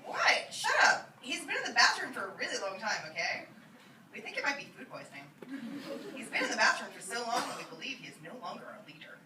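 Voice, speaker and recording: A woman's voice: fairly high pitch, lightly acted. A woman voicing an awkward, flustered werewolf on a phone call in a comic reading. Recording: roomy, noisy, distant old iPod recording.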